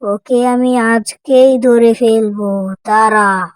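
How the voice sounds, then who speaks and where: A feisty village wife from a children's cartoon, acted out by a man. She scolds indignantly at a slow pace with little rise and fall. The sound is close and dry. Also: extremely high pitch, fairly even intonation, no echo, pitched above the performer's own voice. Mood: indignant, determined.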